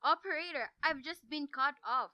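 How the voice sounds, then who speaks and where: A woman's voice: sits high, moderate pace, no room sound, highs cut off, natural voice. A young woman playing a nervous, demanding invalid wife in a radio drama, speaking anxiously and insistently. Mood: shaken, urgent.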